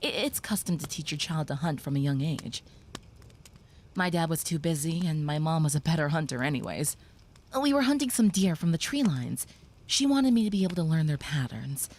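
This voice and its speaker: A woman voicing a gentle, protective young female vampire in an audio roleplay, speaking softly and reassuringly, sometimes whispering. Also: low voice, big pitch swings, normal speed, slight background noise.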